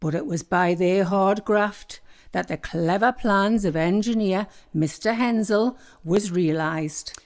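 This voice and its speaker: Woman acting ghost landlady, Northumbrian accent, scolding, bossy, middle pitch, radio play. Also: darkish tone. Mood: proud. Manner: scolding, bossy, quick to defend herself. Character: sharp-tongued ghost of an old landlady.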